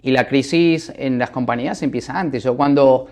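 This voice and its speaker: A middle-aged man with an Argentine Spanish accent speaks in a calm, reflective way, often pausing on fillers as he thinks. His voice has an ordinary pitch for a man. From Buenos Aires, Argentina. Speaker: businessman, interview guest. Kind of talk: telling his life story. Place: office.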